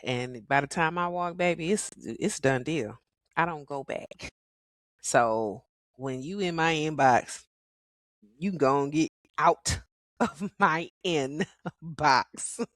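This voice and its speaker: A middle-aged woman hosts her podcast alone in casual, sassy talk. Her voice is hoarse from a cold, and she laughs often. The recording is dry and close, with no room sound. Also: deep voice, slow, no room sound. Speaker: podcast host.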